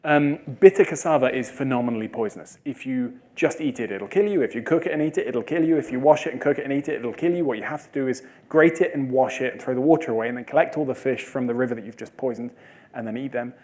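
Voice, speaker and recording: A man answering audience questions after a talk, telling lively stories and acting out the people he quotes in a jokey way. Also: medium pitch, fast. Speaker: speaker at a talk. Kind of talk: animated Q&A storytelling. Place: lecture hall with audience.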